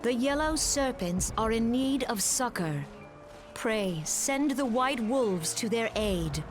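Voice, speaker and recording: Slow, solemn woman mystic leader. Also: on the high side, lively intonation, music in the background. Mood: grave concern. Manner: measured, formal, archaic diction. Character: serene, solemn female mystic leader, wise and grave. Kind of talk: scripted fantasy game cutscene acting.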